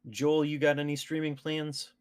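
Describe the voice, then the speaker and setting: A man's voice: fairly high pitch, completely flat delivery, normal speed, smooth. A man hosting a casual gaming podcast, chatting informally with his co-hosts. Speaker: podcast host.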